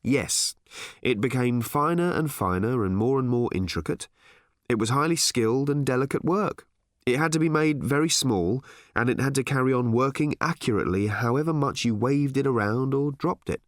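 Audiobook role: male reader playing well-meaning, out-of-his-depth father, mild and halting, lively intonation, very dry sound. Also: medium pitch, no room sound, natural voice. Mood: explaining mildly. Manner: hesitant, stammering, mild. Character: bewildered, well-meaning, awkward father of a teenage girl.

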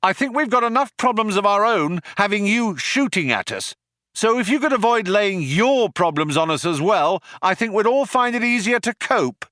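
Cornered sci-fi hero, read by a man: raised, sharp shouting, exasperated, wry, sarcastic. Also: high-pitched, expressive, normal speed, dry recording, clean studio sound, pitched above the performer's own voice.

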